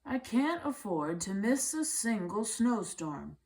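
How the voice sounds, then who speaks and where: A woman voicing an earnest, humble older male farmer who loves nature, speaking deliberately in a storybook read-aloud. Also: mid-range voice, big pitch swings, a little mellow, voice pushed up.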